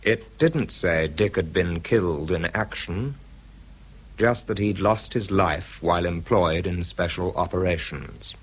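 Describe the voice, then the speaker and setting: A man playing a troubled British scientist who narrates a radio drama in a confiding, measured, husky voice. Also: low voice. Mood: numb, troubled. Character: weary, troubled British male scientist narrating his story. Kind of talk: dramatised first-person narration.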